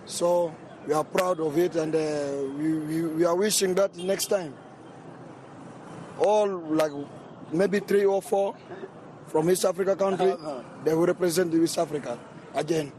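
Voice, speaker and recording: A man from South Sudan answering a street interview, halting and repeating himself. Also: fairly high pitch, fairly even intonation, warm tone, poor audio. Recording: outdoor street noise.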